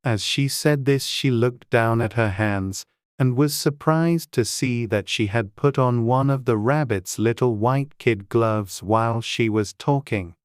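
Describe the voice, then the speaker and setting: Slow, very low-pitched woman narrator. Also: dry recording, studio quality. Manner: measured, storytelling delivery. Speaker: audiobook narrator. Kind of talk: scripted storybook narration.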